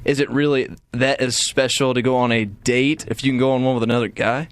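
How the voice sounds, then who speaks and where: A man's voice: mid-range voice, fairly even intonation, a little fast, slight background noise. A young man hosting a casual morning radio chat on a studio microphone. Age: young adult. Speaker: radio show host.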